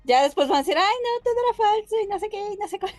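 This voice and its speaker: A woman's voice: very high voice, animated, rapid-fire, a little mellow, no echo, faint music behind. A woman co-hosting a podcast, casually telling stories to her co-hosts in a playful, colloquial way. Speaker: podcast co-host.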